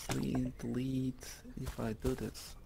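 A man from Turkey with a medium-pitched voice, talking casually during a virtual meeting. The headset voice chat carries clear background noise. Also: flat delivery, unhurried. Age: adult parent. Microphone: VR headset microphone.

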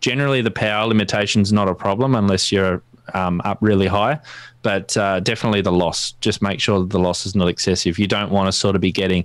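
A man's voice: fairly low pitch, fairly even intonation, fast talker, no echo. An Australian man in his thirties explaining technical things casually as a guest expert on a livestream, close on a studio mic. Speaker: guest ham radio expert. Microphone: close studio mic on boom arm, with headphones.

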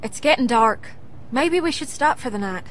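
An actress playing a kindly, practical, calm woman survivor in a dramatic video game. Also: high voice, normal speed, slight background noise. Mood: gentle.

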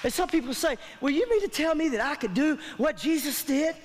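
A man's voice: very high-pitched, lively intonation, brisk, a crowd in the background, noisy background. A man, preaching a sermon as a pastor in an emphatic, colloquial, repetitive way that calls for response. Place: large church auditorium stage, indoors. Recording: long hall reverb from stage sound system.

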